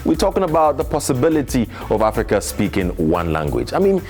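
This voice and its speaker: A man hosting a lively debate show, talking in a provocative, challenging manner. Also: mid-range voice, fairly quick, soft background music.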